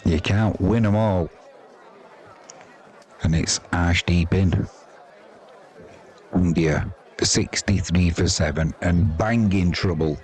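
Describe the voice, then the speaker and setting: A man's voice: low voice, slow, pauses often, darkish tone. A man giving chatty, informal live cricket commentary with wry, colloquial asides. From England. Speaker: cricket commentator and stream host. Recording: crowd noise behind commentary. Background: stadium crowd.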